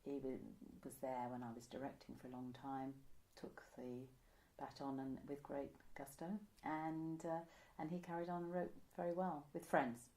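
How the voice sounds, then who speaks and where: Low-voiced older Englishwoman, chatty. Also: level tone, normal speed, few pauses, dark tone, rough recording. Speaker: interviewee, amateur pantomime writer. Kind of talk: casual reminiscing interview. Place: indoor room by a window, seated.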